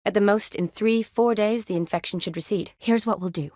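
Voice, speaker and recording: Young female field medic acted by a woman, medium pitch, caring, playful, practical, expressive video game dialogue, dry studio sound. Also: brisk, no room sound, natural voice. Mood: businesslike.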